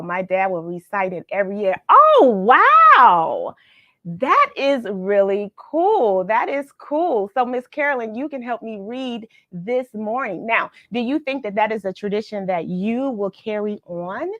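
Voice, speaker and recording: A woman's voice: medium pitch, dry recording. A middle-aged American woman hosting a livestream read-along, chatting casually and effusively with viewers and drawing out some words. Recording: dull, lo-fi, dry livestream audio.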